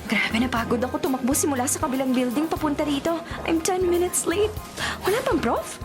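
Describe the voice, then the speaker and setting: An actress playing an excitable, lovestruck young college student in a radio drama, gushing theatrically in mixed Tagalog and English. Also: female voice, high voice, fairly quick, natural voice. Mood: flustered. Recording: music playing behind the voice.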